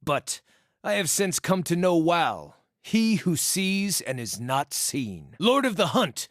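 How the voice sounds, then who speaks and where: A man's voice: fairly high pitch, sing-song, takes it slowly, no room sound, clean studio sound. A man voicing a witty, cheeky male wandering druid hunter in a fantasy video game, with a wry, theatrical way of talking. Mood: solemn. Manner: wry, theatrical, colloquial yet florid. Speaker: video game companion voice actor. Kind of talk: expressive scripted fantasy game dialogue.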